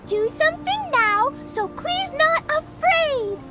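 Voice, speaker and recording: A woman's voice: very high voice, expressive, slow pace, exaggerated voice. A woman voicing an innocent, bubbly little adventurer girl in a video game cutscene, childlike and earnest. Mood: eager, excited. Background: background music.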